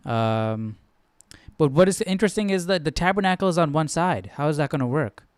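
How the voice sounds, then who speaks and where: Clear-voiced casual man, fairly high pitch. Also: animated, fairly quick, no room sound, studio quality. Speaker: host of a Bible study. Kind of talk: casual off-the-cuff commentary.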